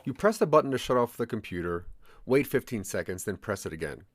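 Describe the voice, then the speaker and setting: A man's voice: fairly low pitch, big pitch swings, fairly quick, no echo. A man narrating a scripted audio drama in the second person.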